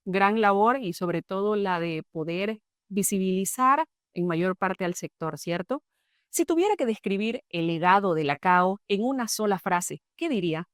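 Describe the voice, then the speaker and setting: A woman's voice: medium pitch, expressive, unhurried, clean tone, no room sound, studio quality. Polite woman hosting an interview. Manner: polite, formal, warm-toned welcome. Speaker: interviewer, host.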